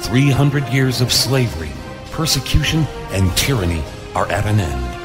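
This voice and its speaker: A man's voice: fairly low pitch, sing-song, normal speed. A man narrating a scripted adventure trailer in a dramatic style. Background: music.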